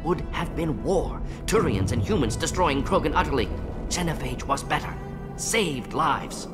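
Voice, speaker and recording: An actor playing a brooding alien scientist and doctor in a video game, speaking in clipped, analytical fragments. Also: male voice, on the high side, expressive, a little slow, loud music behind the voice. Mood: insistent, defensive. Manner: clipped telegraphic phrases, analytical. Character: brisk, brooding alien scientist-doctor, male, conscience-burdened. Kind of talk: video game dramatic dialogue.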